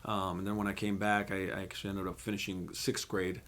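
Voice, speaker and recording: A man's voice: sits low, little pitch movement, a little fast, a bit bright, slight background noise. A man from Mexico and California, a guest telling personal stories in a casual, reflective interview style. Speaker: podcast guest, musician.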